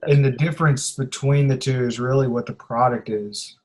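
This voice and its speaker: Male student with a mid-pitched voice. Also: fairly even intonation, normal speed. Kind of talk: casual questioning in an online class. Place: online video conference.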